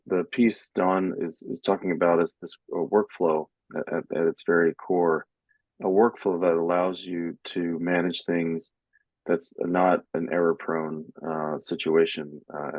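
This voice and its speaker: A man giving an unscripted business explanation on a webinar, halting and often repeating words as he goes. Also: deep voice, darkish tone, dry recording, highs cut off. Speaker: software company presenter in a webinar.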